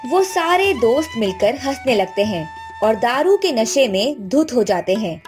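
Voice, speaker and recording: High-pitched woman narrating a story. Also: big pitch swings, a little slow, darkish tone. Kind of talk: scripted children's story narration. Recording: music bed behind the voice. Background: background music.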